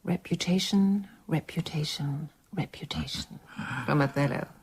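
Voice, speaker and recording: Knowing lady, lowish husky voice, calm and wry, radio play. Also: a little slow, heavily acted. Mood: gentle, earnest. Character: shrewd, calm, supportive gentlewoman confidante. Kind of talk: radio drama acting.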